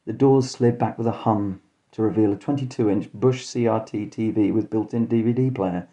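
A man with a very dark, mellow and fairly low voice narrates a spoof detective horror story. He reads as a storyteller, deadpan yet mock-dramatic. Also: moderate pace. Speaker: podcast host and storyteller. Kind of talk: scripted comic horror narration in mock-detective first person.

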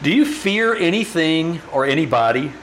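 A man playing a probing, measured psychiatrist in an old-time radio drama, asking questions deliberately. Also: a little high, very animated, a bit bright, slight background noise, rough recording. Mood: clinical, probing.

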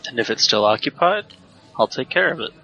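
Audio drama role: male actor as threatening cyber razor boy, hard forceful tone, mid pitch, unhurried. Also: expressive, highs cut off. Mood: cocky menace. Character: menacing male cyber-bladed street fighter mercenary.

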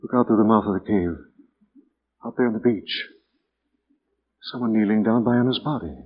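A man's voice: on the low side, moderate pace, husky. An actor playing a composed, commanding male amateur sleuth with grave, decisive delivery in 1940s radio style. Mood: hushed, commanding. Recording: dull, noisy 1940s radio recording.

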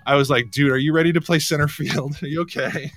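A man's voice: fairly high pitch, fairly even intonation, fast, over a noisy crowd. A man hosting a casual radio show, bantering in a wry, joking way.

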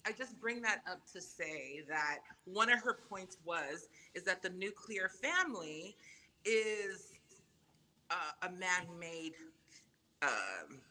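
A woman raised in Samoa, Samoan her first language, chatting as a podcast guest in a lively, emphatic way. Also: on the low side, slow. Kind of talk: casual podcast chat.

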